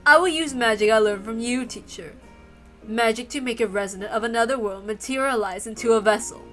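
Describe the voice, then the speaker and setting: A proud golden witch , voiced by a woman, with a bright and fairly high voice. She declaims ceremoniously, in grand visual novel style, over soft music. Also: fairly quick, close to an everyday voice. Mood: solemn, grand. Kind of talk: dramatic visual novel read-aloud.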